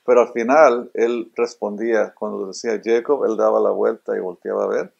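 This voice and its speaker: Low-pitched gravelly man from Honduras, middle-aged, earnest and halting, repeats himself, interview guest in a robot-filled room, somewhat dull lo-fi recording. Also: steady tone, a little mellow. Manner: earnest, repeats words while searching for them. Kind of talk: casual interview answers. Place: indoor room full of robots.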